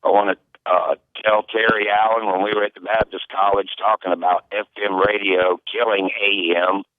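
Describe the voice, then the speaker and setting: A man's voice: fairly low pitch, little pitch movement, moderate pace, no room sound. A man calling in to a radio show by telephone, speaking casually. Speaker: phone-in caller. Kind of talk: casual, congratulatory radio call-in. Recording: tinny, band-limited phone line.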